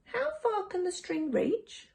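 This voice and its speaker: Woman acting an eager, high-voiced young child. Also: very animated, slow pace, creaky, a little mellow, heavily acted. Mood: curious, questioning. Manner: eager, questioning. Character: frightened, curious young twins, a boy and girl. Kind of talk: children's picture book read aloud.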